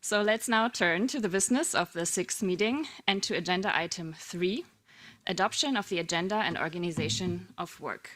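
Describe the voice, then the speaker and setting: Woman co-chair, formal and measured, running a board meeting. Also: on the low side, fairly bright tone. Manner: measured, formal, reads prepared remarks.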